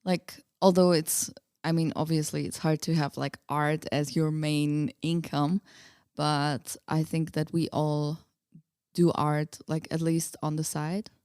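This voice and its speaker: A woman's voice: sits low, little pitch movement, takes it slowly, a little mellow, clean tone, no room sound, clean studio sound. A woman from Austria, chatting informally and a little hesitantly as a guest in a casual interview. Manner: laughs easily, hesitant and informal.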